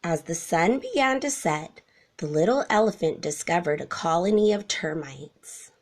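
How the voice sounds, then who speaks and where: A woman reading a children's story aloud in an expressive narrating style. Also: on the low side, moderate pace. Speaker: storybook reader.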